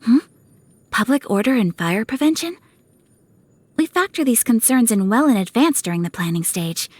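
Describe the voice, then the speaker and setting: A young woman playing a refined, dutiful, gentle noblewoman in a video game, speaking with formal, courteous, measured phrasing. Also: on the high side, lively intonation, dry recording. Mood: gentle, composed. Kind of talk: scripted video game character dialogue. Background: music.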